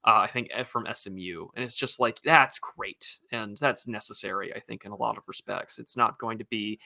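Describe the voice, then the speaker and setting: Host, male, strikingly bright and sharp tone, casual musing delivery with wry asides. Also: lowish voice, normal speed, gravelly. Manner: thinks aloud with restarts and wry asides. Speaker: podcast host. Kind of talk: casual podcast conversation.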